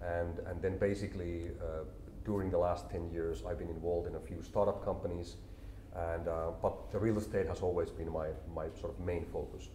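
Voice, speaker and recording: Deep-voiced man in his forties. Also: slight background noise. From Finland. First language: Finnish. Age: 40s. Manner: calm, explanatory, measured. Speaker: company CEO, interview guest. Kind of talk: business interview. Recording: roomy echo, faint ambience.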